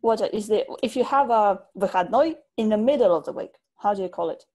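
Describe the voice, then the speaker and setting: A woman tutor from Ukraine giving an online English lesson over a video call. Her voice is mellow and warm, middle in pitch, and her explanations come slowly and patiently. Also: lively intonation. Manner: patient, clear teacher explanations.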